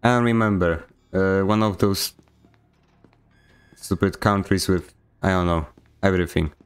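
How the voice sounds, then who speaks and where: A man's voice: low-pitched, measured pace, smooth. A young man from Poland with a Polish accent, giving joking, sarcastic commentary while streaming a game. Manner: joking, sarcastic, mock-indignant. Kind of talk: casual gameplay commentary.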